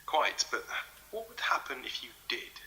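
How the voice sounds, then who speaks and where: An actor playing a polite, curious investigator, speaking hesitantly in a naturalistic interview style. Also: male voice, a little high, very animated, normal speed, pushed and bright, whispered, exaggerated voice, low-quality recording. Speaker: interviewer researching a legend. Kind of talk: audio drama acting, naturalistic interview.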